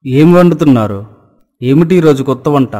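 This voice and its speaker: A man's voice: medium pitch, lively intonation, normal speed, dark tone, long reverb tail, lightly acted. A man playing a fussy, gluttonous village landlord in a cartoon story, talking in a bossy, demanding way. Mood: demanding, eager.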